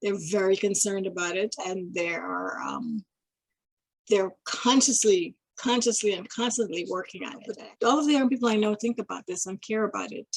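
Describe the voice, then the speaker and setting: A middle-aged woman from Guyana talks slowly through her thoughts, pausing and restarting often. Her voice is husky with a gravelly edge, and it is recorded close and dry. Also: mid-range voice, big pitch swings, no room sound, rough recording. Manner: thoughtful, halting, many restarts and fillers. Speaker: interviewee. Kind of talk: casual reflective talk.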